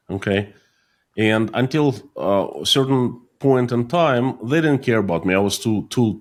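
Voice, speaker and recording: A middle-aged man from Russia with a Russian accent, answering interview questions in a halting way with many fillers and restarts. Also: lowish voice. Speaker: guest, YouTuber.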